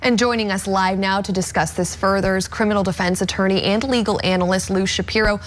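A woman's voice: mid-range voice, steady tone, brisk, a little hiss or hum. Polished young woman news anchor. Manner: polished, with small slips when asking questions. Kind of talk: live news anchoring and interviewing.

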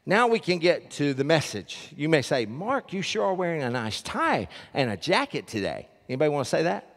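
A man's voice: fairly high pitch, big pitch swings, a little fast, a bit bright. An older man preaching as a pastor, folksy and joking with the congregation, on a headset mic from a church stage. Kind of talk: sermon with announcements. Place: large church stage with audience. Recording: long reverb of a large hall.

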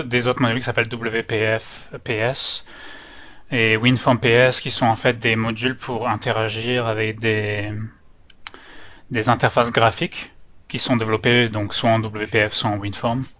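A man's voice: mid-range voice, sharp tone. A man from France with a French accent tinged by Canadian intonation, giving an informal, slightly hesitant technical presentation as a user group organizer. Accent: French with Canadian-influenced intonation. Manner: hesitant, nervous speaker. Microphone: online meeting microphone. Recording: dull, lo-fi online call audio.

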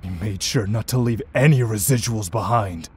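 A man's voice: fairly low pitch, normal speed, breathy edge. A young man voicing an earnest, intense sorcerer in a manga dub, serious and dramatic. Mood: angry, demanding. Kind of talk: amateur anime manga dub acting.

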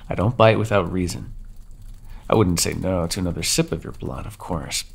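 A man's voice: moderate pace, heavily acted. A man voicing a centuries-old male vampire in an ASMR audio roleplay, taunting and mocking the listener he calls dearie. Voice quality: low gravelly voice, husky.

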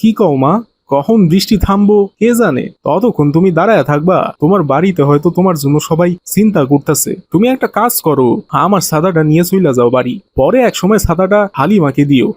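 A man's voice: sits high, lively intonation, quick pace, a little mellow, a little hiss or hum. A man playing a poor, pious, kind-hearted village father in a cartoon, earnest and plain-spoken, with a colloquial Bangladeshi Bengali accent. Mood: kindly concerned. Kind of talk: cartoon voice acting.

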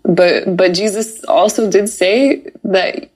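A woman's voice: medium pitch, takes it slowly, a little mellow, breathy edge. A woman discussing scripture in a casual podcast conversation, engaged and emphatic, often repeating words. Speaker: podcast co-host.

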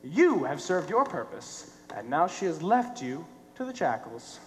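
A man's voice: on the high side, sing-song, a little slow. A young man on stage playing a sardonic, theatrical tormentor who taunts cruelly. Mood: bitter, cruel. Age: young adult. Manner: theatrical, mocking. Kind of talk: high school stage play acting. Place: theater stage, filmed from the audience. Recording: echoey theater stage, noisy hum.

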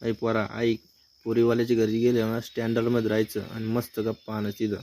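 A man's voice: fairly low pitch, completely flat delivery, fast talker, background noise, lightly acted. A man voicing a short-tempered bald village father in a cartoon, scolding and threatening in a Vidarbha Marathi accent.

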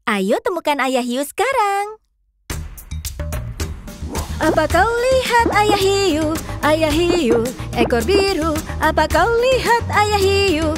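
A woman narrates a children's cartoon in a high, very lively voice. She speaks slowly in a sing-song way, as if guiding small children through a game. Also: over loud music. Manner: sing-song, addressing young viewers. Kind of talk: scripted narration for young children.

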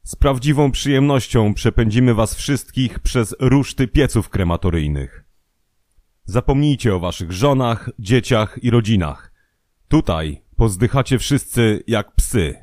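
A man's voice: medium pitch, expressive, unhurried, darkish tone, dry recording, studio quality, lightly acted. Man reading as cruel Nazi camp officer. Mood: cold contempt. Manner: menacing address to prisoners.

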